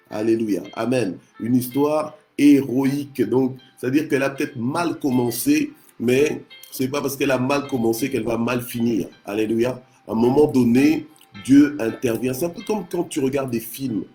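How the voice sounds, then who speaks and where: Mellow-voiced male preacher from Congo. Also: mid-range voice. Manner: punctuates speech with short exclamations of praise. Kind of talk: devotional sermon on scripture.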